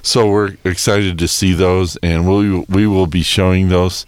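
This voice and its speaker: A man's voice: low voice, level tone, measured pace, clean tone, no room sound. A man giving casual explanatory talk as a technology expert on a radio segment.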